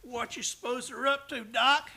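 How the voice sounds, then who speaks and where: A flustered deputy's helper from a radio Western, acted by a man. His American country drawl is high and sharp with a gravelly crackle, anxious and hesitant yet loyal. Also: steady tone, normal speed, full character voice. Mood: worried, flustered. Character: anxious, loyal folksy male deputy sidekick. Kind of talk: Western radio play acting.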